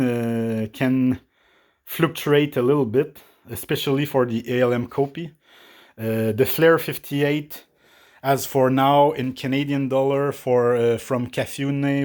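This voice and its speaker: A man who is not a native English speaker, reviewing espresso machines unscripted from a small apartment kitchen, with many pauses and filler sounds. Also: medium pitch, darkish tone. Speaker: coffee equipment reviewer.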